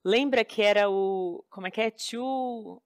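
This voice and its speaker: A woman's voice: mid-range voice, a little slow, clean tone, no room sound. Chatty woman, dry close sound. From Brazil. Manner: chatty, interjecting, animated storyteller. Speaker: podcast co-host. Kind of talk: casual storytelling chat.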